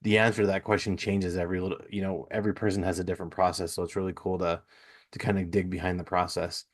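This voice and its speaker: A man's voice: low voice, flat delivery, quick pace. A man hosting a casual interview, rambling a little and hesitant, with an apologetic way of talking. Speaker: podcast host, interviewer.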